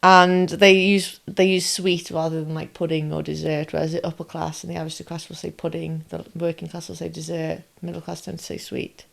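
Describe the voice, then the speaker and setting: A woman's voice: low-pitched, little pitch movement, a little fast, creaky, few pauses. Chatty woman explaining things. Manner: explains with lists and comparisons, asks if it makes sense. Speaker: podcast co-host. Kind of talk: casual explanatory chat.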